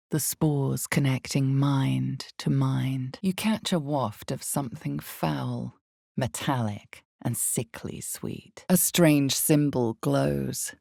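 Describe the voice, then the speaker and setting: A woman playing an ominous, all-knowing narrator of a fantasy video game, speaking in measured, deliberate, dramatic storytelling. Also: a bass voice, vocal fry, mellow, no echo, studio quality, exaggerated voice.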